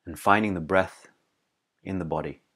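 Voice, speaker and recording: A man leading a guided meditation as a teacher, calm and unhurried, pausing between short, soothing phrases. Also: deep voice, slightly rough.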